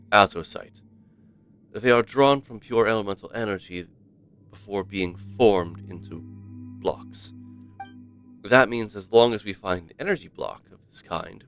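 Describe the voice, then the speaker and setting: A man's voice: low voice, a bit bright. A man voicing a talkative, self-assured ruins researcher from a game, reading the dialogue in a formal, explanatory way over music. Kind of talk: read-aloud game dialogue.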